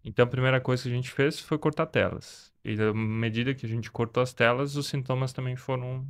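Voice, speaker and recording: Male podcast host, 30s, mid-pitched and clear, sincere and reflective. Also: fairly even intonation, brisk. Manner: earnest, reflective storytelling. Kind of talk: casual conversation.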